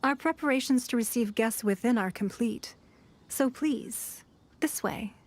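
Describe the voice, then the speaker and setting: A woman playing a shrewd, gracious noblewoman in a video game, speaking in a formal, composed and measured manner. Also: a little high, close to an everyday voice. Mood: gracious, calm. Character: poised, courtly noblewoman, a shrewd and gracious hostess. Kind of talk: video game character acting. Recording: game audio with faint background ambience.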